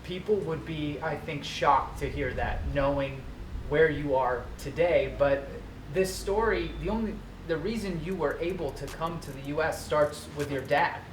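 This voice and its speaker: A man's voice: a little high, unhurried, roomy sound, noisy background. Friendly male TV interviewer. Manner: friendly, leading questions. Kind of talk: broadcast interview.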